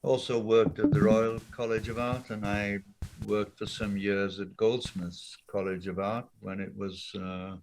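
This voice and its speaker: An older man from Wales, an art professor talking thoughtfully on a video call with many pauses and ums. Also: on the low side, little pitch movement, music in the background. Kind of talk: casual interview talk. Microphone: laptop webcam on a video call.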